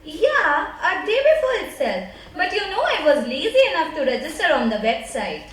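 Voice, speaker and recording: A woman's voice: very high-pitched, big pitch swings, moderate pace, fairly bright tone, exaggerated voice. An Indian-accented woman playing a breezy, chatty friend in an amateur stage play. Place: stage in a hall, far microphone. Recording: echoey distant stage recording, audience noise.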